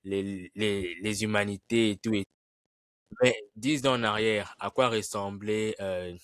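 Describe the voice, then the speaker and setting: Low-voiced co-host, dry. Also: male voice, steady tone, takes it slowly, no room sound. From Democratic Republic of the Congo. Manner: asks questions, stammers on restarts. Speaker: podcast co-host.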